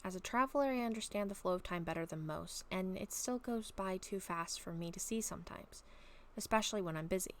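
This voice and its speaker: A woman chatting casually and reading her own story aloud at home, a little raspy from a long talking session, rambling with many soft ums. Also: mid-range voice, brisk. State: slightly raspy throat. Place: at home, bedroom.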